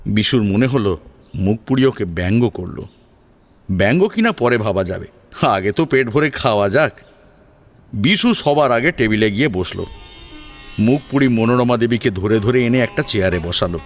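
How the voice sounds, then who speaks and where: A man's voice: lowish voice, animated, normal speed, pauses often, soft background music. A man narrating a scripted family drama as a storyteller, in a dramatic audio-story style.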